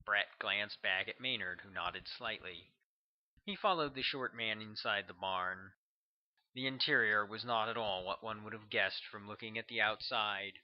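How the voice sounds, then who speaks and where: A man's voice: lowish voice, normal speed, lo-fi sound. A man reading his own thriller novel aloud as scripted audiobook narration.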